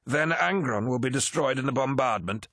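A man voicing a deferential, flattering warrior adviser in a dramatic audiobook, eager to please. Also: medium pitch, normal speed, no echo, studio quality, pitched above the performer's own voice. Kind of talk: dramatic audiobook character acting.

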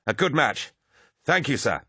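A man's voice: mid-range voice, big pitch swings, a little slow, bright, forceful tone, husky, no echo, clean studio sound, voice pushed up, heavily acted. A man voicing a cocky, boastful male warrior duellist with a taunting manner, in an audiobook story. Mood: smug. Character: cocky, crowing young warrior duellist, plaintive and demanding.

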